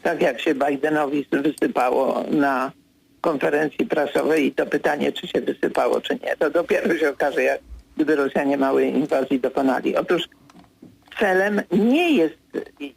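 An older man in his sixties, a political commentator in a radio studio, speaking in a measured way with frequent hesitations, pressing harder when he corrects someone. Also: a little high, vocal fry, breathy edge, rough recording. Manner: measured, hesitant with many fillers, grows insistent when correcting.

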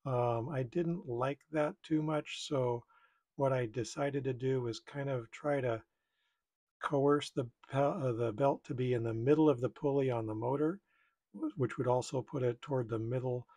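A man's voice: medium pitch, fairly even intonation, unhurried, mellow. A middle-aged man giving casual hands-on tutorial commentary, thinking aloud in a tentative way. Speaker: repair video maker.

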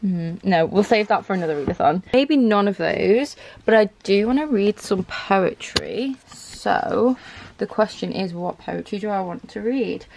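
A woman's voice: medium pitch, expressive, measured pace, vocal fry, a little mellow, slight background noise. A woman, chatting casually for a book vlog and thinking aloud in an indecisive, rambling way. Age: 20s. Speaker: book vlogger. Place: small furnished room at home.

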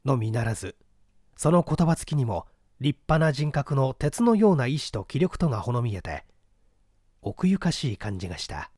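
A man's voice: mid-range voice, very animated, normal speed, darkish tone, dry recording, studio quality. A man narrating a literary story as an audiobook reader, in a scripted style.

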